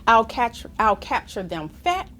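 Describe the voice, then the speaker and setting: A woman reading a children's picture book aloud in an expressive, deliberate sing-song way. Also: on the high side, slight background noise. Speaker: storybook reader.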